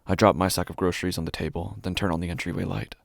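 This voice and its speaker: A man performing first-person horror narration as an uneasy city dweller, in hushed, deliberate, short phrases. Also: low voice, little pitch movement, creaky, no room sound, studio quality. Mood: tense, subdued. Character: uneasy city dweller recounting a creepy homecoming. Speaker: narrator of a horror fiction podcast.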